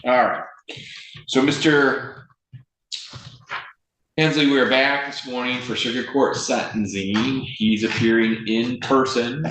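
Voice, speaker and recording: A man with an American accent presiding as a judge, speaking formally for the record in a deliberate, firm and measured way. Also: mid-range voice, steady tone, a bit bright. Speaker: judge presiding over a court hearing. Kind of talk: formal courtroom speech on the record. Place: indoor courtroom. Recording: roomy echo, faint noise, courtroom audio.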